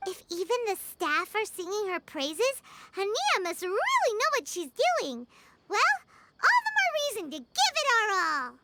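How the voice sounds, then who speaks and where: A woman's voice: extremely high pitch, wildly swooping pitch, measured pace, heavy background noise, exaggerated voice. A woman voicing a small, cheerful, childlike fairy companion in a video game, bubbly and enthusiastic. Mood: pleased, eager.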